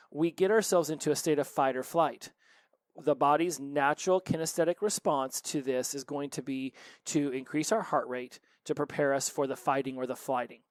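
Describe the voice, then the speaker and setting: A man's voice: on the high side, no echo. A man in his forties hosting a recovery podcast, giving an earnest solo motivational talk full of rhetorical questions. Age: 40s.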